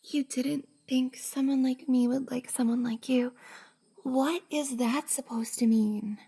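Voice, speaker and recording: A woman playing a shy, flustered young cosplayer in an intimate roleplay ASMR drama, hesitant and breathy, often sighing and dropping to a whisper. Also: sits high, fairly even intonation, slow, dark tone, full character voice. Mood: surprised, tender.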